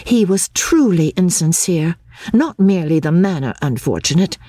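A woman playing an earnest, gentle young Englishwoman in an audiobook, eager to please. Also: lowish voice, animated, moderate pace, dry recording, voice pushed up.

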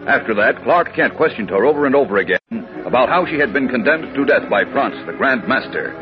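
An actor narrating an adventure serial as an earnest, dramatic 1940s radio announcer. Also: male voice, medium pitch, lively intonation, brisk, close to an everyday voice. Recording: muffled old radio recording, loud music.

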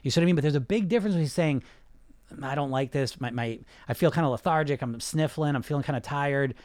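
A man's voice: fairly high pitch, fast, darkish tone, no echo. A man hosting a podcast as a weight-loss coach, talking in a casual, emphatic way with lots of "you know" fillers. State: sniffling. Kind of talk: casual monologue.

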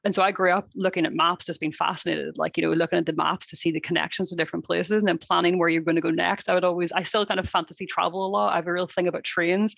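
A woman's voice: lowish voice, creaky, a bit bright, no echo, muffled recording. A woman from Ireland, a civil engineer, chatting enthusiastically as a podcast guest with lots of filler words. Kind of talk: casual chat.

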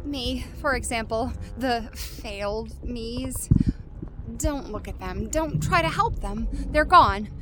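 A brisk female time traveler in an audio drama, high-voiced, blunt and commanding with a grim, wry streak. Loud rumbling noise surrounds the voice.

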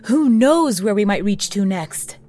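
An actress playing a steady, resolute leader with a measured, encouraging manner in an audio drama. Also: female voice, medium pitch, close to an everyday voice. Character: steady, resolute female leader rallying her people. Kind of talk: audio drama acting, naturalistic dialogue.

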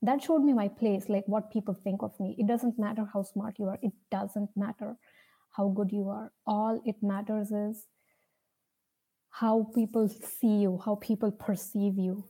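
A young woman from India with an Indian accent tells her personal story off the cuff, earnestly and with hesitant pauses. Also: medium pitch, steady tone, dark tone, breathy edge, rough recording. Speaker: speaker sharing a personal story. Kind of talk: personal storytelling, unscripted talk.

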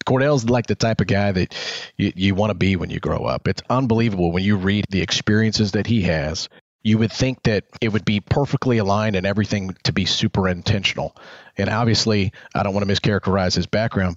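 A man's voice: lowish voice, dry recording, highs cut off. A man hosting an interview podcast, talking casually and rambling, often repeating words and adding folksy asides. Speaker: podcast host.

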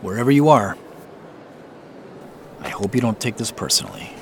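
An actor playing a weary, wry man who narrates his own secret quest in an audio drama, in a measured way. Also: on the low side, very animated, vocal fry, darkish tone, a little hiss or hum. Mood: regretful. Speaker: narrator and lead character. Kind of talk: first-person audio drama narration and dialogue.

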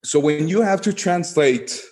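A man's voice: on the high side, a little slow, darkish tone. A man from Guatemala with a Guatemalan Spanish accent, explaining earnestly in an interview and often padding phrases as he goes. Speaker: podcast guest, legal tech executive.

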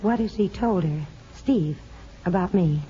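Woman playing anxious mother, American, mellow, halting and emotional, vintage radio drama, dull noisy recording. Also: on the low side, natural voice. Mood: pleading. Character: anxious, pleading mother longing for her lost child. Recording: muffled, noisy old radio broadcast.

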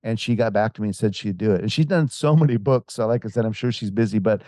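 A man talks as an author guest in an interview, rambling through a story with frequent restarts. His voice is dark and mellow, and the recording is dry and close. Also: on the low side, fast talker, no room sound. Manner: rambling, with many restarts and fillers; acts out quoted voices. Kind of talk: casual conversational storytelling.

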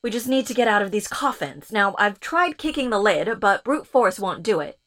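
A woman's voice: medium pitch, lively intonation, a bit bright, close to an everyday voice. An actress playing a decisive, scheming woman who leads a small crew in an audio drama. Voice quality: brisk and decisive, dry. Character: scheming, commanding woman leader.

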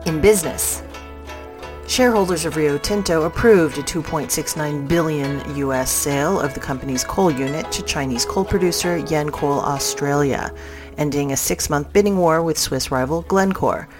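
A woman with a low-pitched voice reads scripted business news. Music is clearly audible behind her as she goes through the items. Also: moderate pace. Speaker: news reader.

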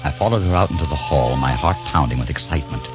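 An actor playing a romantic, earnest American gentleman in a 1940s radio drama, with a courtly, dramatic delivery. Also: rumbling low, fast. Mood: wonder. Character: romantic American time traveler posing as an English cousin. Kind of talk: 1940s radio acting, first-person narration and dialogue. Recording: dull 1940s radio recording with music.

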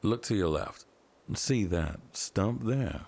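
A man's voice: on the low side, expressive, slow, vocal fry, a little mellow, full character voice. A man playing a formal, self-assured heir to a governor in an audio drama, speaking with pompous polish. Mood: composed.

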